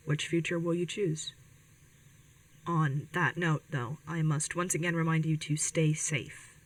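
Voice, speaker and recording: A woman's voice: low-pitched, a bit bright, far from the mic, poor audio. A woman playing an earnest, protective young rebel radio host in an audio drama, speaking hesitantly and directly to listeners. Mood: earnest, persuasive, then cautionary. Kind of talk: podcast audio drama, conversational radio monologue.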